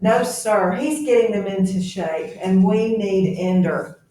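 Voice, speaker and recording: A woman's voice: medium pitch, measured pace, warm tone, natural voice. A woman reading a novel aloud as an earnest male army lieutenant with an urgent, insistent manner. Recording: very echoey room, distant mic.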